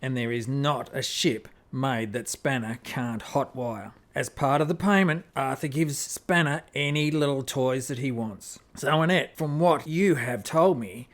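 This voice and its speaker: A man's voice: medium pitch, measured pace, few pauses, close to an everyday voice. A man playing a pragmatic, roguish spaceship captain, decisive and plain-spoken, in an amateur sci-fi radio play. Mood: businesslike.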